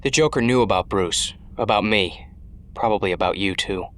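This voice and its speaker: A man's voice: fairly low pitch, normal speed, fairly bright tone, close to an everyday voice. A man playing a determined, sardonic young vigilante in an audio drama, dry and insistent.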